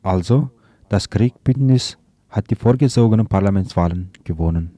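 A man's voice: on the low side, moderate pace, warm tone, no echo. A man reading a scripted political commentary on the radio, stumbling now and then over words.

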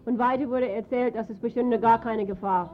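Hesitant woman interpreter, slightly high voice. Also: steady tone, moderate pace. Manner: hesitant, searching for words. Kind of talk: consecutive live interpreting. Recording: dull, faintly noisy archive tape.

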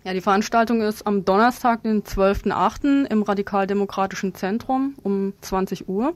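A woman being interviewed as an activist guest, explaining her position in a halting, spontaneous way with frequent ums. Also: medium pitch, no echo.

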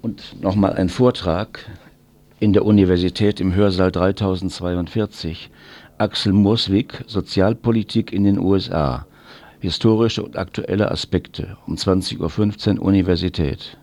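A man with a low-pitched voice presents event listings on a community radio station. He works through announcements in an informational presenter's style. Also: steady tone, moderate pace, darkish tone.

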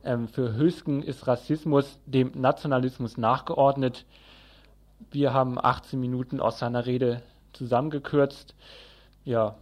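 A man's voice: mid-range voice, a little mellow. Male community radio news host, mid-range voice, semi-scripted live programme rundown.

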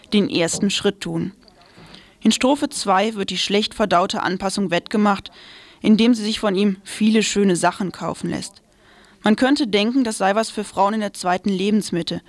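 A woman's voice: medium pitch, no echo. A woman presenting on radio, reading a scripted commentary aloud.

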